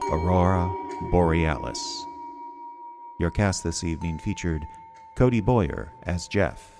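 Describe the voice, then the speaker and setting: A man reading scripted credits as the announcer of an audio drama. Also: low-pitched. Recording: music under the voice.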